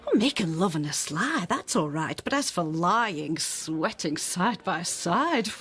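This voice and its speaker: An actress playing a frank, worldly café widow in a radio drama, blunt and teasing, with a husky voice. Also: female voice, fairly low pitch, expressive, a little slow, a bit bright, heavily acted.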